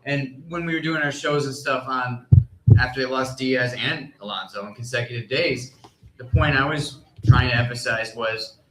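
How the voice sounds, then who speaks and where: A man speaking as a sports reporter on a live panel, careful and measured, often restarting his sentences. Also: mid-range voice, very noisy. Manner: measured, careful, halting with repeated starts. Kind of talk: live panel discussion, analysis. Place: live convention stage. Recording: echoey live convention room.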